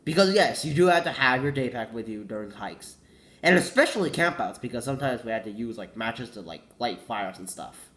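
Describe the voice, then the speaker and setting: A man's voice: medium pitch, lively intonation, brisk, a bit bright. A teenage boy with a cold, congested and coughing, rambling casually and correcting himself, saying "like" a lot. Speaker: vlogger.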